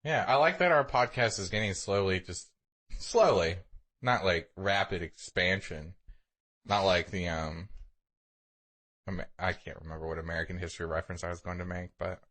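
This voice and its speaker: A man's voice: deep voice, normal speed, fairly bright tone. A man co-hosting a podcast, telling stories in a casual, sarcastic way and swearing freely. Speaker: podcast co-host. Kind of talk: casual chat, anecdotes.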